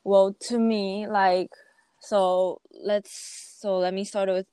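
A woman's voice: mid-range voice, flat delivery, slow pace, dark tone, smooth, dry recording. A young woman from Taiwan and Japan chatting casually, hesitant as she searches for her words. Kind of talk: casual conversational discussion.

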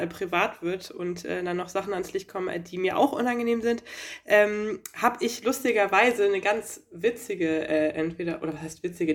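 A woman from Franconia co-hosting a podcast, talking in a rambling, hesitant way with lots of fillers. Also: mid-range voice. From Franconia, Germany. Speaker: podcast co-host. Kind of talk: casual chat.

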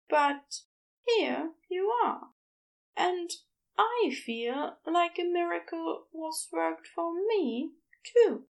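A woman voicing a gentle, earnest, bashful man with tender, hesitant phrasing in an audiobook. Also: extremely high pitch, gravelly, voice pushed up, full character voice. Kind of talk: audiobook character dialogue.

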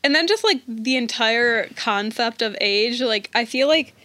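A woman's voice: fairly high pitch, unhurried, bright, forceful tone, no room sound. A woman of twenty, a podcast host, chatting casually and rambling with lots of "like". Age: 20s. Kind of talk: casual chat.

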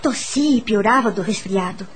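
A woman's voice: medium pitch, moderate pace, a little hiss or hum, natural voice. A woman playing a curious, uneasy young teacher in a Brazilian radio drama, narrating her own story expressively. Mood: measured storytelling. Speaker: lead actress and narrator.

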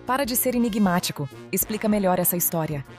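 Actress as irritated, demanding Brazilian schoolgirl, audio drama, mid-range voice, rapid delivery. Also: mid-range voice, expressive, darkish tone, soft background music, lightly acted. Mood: annoyed, insistent. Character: insistent, annoyed teenage schoolgirl demanding explanations.

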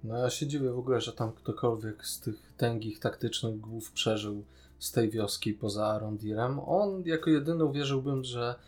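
A man's voice: medium pitch, normal speed, darkish tone, soft background music. Polish man in casual, critical podcast talk. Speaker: podcast co-host. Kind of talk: casual critical discussion of a series.

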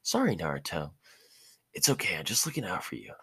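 A man's voice: low-pitched, wildly swooping pitch, fairly quick, vocal fry, fairly bright tone, breathy edge, full character voice. A man voicing a blunt, protective teenage ninja boy in an informal story reading. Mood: reassuring.

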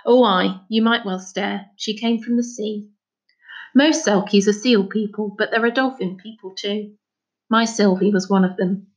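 A woman's voice: mid-range voice, fairly even intonation, a little slow, lo-fi sound, lightly acted. Woman voicing a stern, anguished father. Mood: resigned, confiding. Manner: scolding, blunt, folksy phrasing. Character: stern, anguished Scottish father, gruff and scolding.

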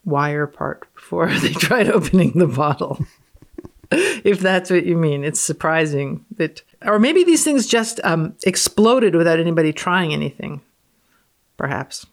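A woman's voice: sits low, expressive, measured pace. A woman guesting on a podcast as a physicist, reading aloud and commenting casually in an offhand, matter-of-fact way.

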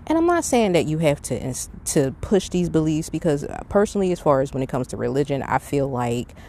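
A woman's voice: sits low, very animated, brisk, mellow, a little hiss or hum. A middle-aged woman hosting a podcast, talking in a casual, reflective monologue with an earnest, conversational and emphatic manner. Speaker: podcast host.

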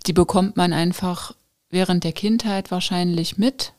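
A woman with a fairly low voice hosts a podcast. She speaks in a calm, encouraging, reflective way, like a coach guiding her guest. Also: moderate pace. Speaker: podcast host. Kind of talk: casual podcast conversation.

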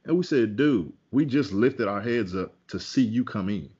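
A man's voice: fairly low pitch, lively intonation, moderate pace, a little mellow, breathy edge, muffled recording. A man telling a personal story in a casual podcast chat, candid and conversational. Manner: candid, conversational, with many fillers and restarts.

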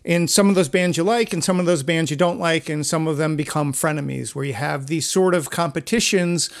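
A man's voice: a little high, fairly quick, gravelly. A man hosting a podcast interview, asking questions in a casual, conversational style. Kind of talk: casual interview chat with profanity.

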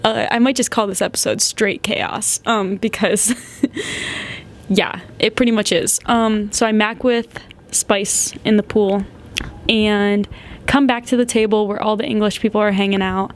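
A young woman in her twenties tells a story on her podcast in a loose, chatty way. She giggles often and fills her sentences with "like." Her voice sits at a middling pitch for a woman. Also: steady tone, unhurried. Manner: chatty, giggly, heavy use of "like". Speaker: podcast host. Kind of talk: casual storytelling.

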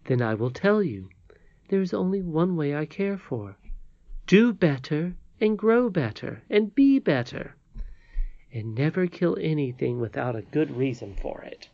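A man voicing a kindly, mysterious old woman in a storybook, gently and firmly admonishing. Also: fairly high pitch, sing-song, measured pace, dry recording, highs cut off, pitched above the performer's own voice. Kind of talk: storybook character reading.

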